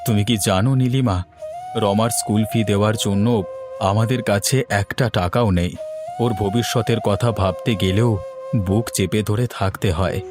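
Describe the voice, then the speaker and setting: A man's voice: lowish voice, fairly even intonation, normal speed, natural voice. A man voicing a poor, despairing village father in a melodramatic cartoon, plaintive and bitter. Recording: music behind the voice. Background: background music.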